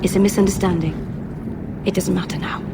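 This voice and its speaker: A woman's voice: fairly low pitch, fairly even intonation, brisk. A woman playing an earnest, apologetic but stubbornly determined young elf exile in a video game. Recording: game audio with clear ambient noise.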